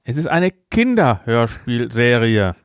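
A man in a casual, emphatic podcast chat about films. His pitch swings widely as he talks, and he often repeats words for effect. The sound is dry, with no room around it. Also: very animated, slow pace, no room sound. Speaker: podcast co-host. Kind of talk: casual film review chat.

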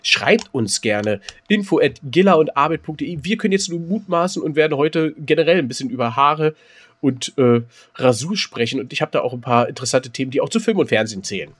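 Joking German-speaking podcast man, fairly high voice. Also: a little fast, breathy edge. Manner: joking, animated, restarts often. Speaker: podcast co-host. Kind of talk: casual comedic chat.

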